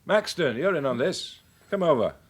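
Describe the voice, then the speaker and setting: An actor playing a brisk, authoritative senior police officer in a radio drama, measured and matter-of-fact. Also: male voice, fairly high pitch, lively intonation, breathy edge. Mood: grave, briefing. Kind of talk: naturalistic radio drama acting.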